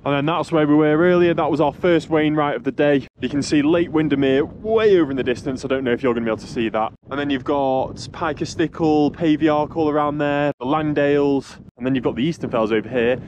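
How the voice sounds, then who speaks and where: A man's voice: fairly high pitch, fairly quick, hardly any pauses, darkish tone, highs cut off. A young man giving casual vlog commentary as a hiker, speaking into a camera held at arm's length on an open mountainside. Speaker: hiking vlogger. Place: open snowy mountainside outdoors. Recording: clear wind and outdoor noise.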